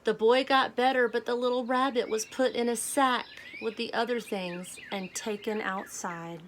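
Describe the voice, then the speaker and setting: A woman reading a storybook aloud to children, speaking in a deliberate, simple way. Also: on the high side, steady tone, a little hiss or hum. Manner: deliberate, simple phrasing addressed to young children. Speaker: children's read-aloud host.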